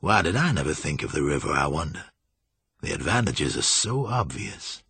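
Guy doing a suave evil vampire boss, deep slow purr, polite but mocking, audiobook style. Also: very animated, dry recording, exaggerated voice. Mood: courtly, musing. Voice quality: low, smooth, musical. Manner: courtly, languid, softly mocking. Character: suave, sinister male vampire master, slyly menacing. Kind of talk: audiobook character acting.

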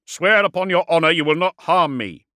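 Man playing a sharp, taunting villainous warlord. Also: mid-range voice, animated, a little slow, dry recording, studio quality, voice pushed up. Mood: firm demand. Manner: taunting, articulate, projected. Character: ancient villainous warsmith, mocking, cunning and defiant. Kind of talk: audiobook character acting.

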